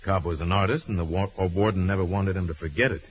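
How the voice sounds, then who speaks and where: A man playing a calm, shrewd detective hero with a measured, commanding delivery in a 1940s radio drama. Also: low-pitched, lightly acted. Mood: assured, musing. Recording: muffled hissy old radio recording.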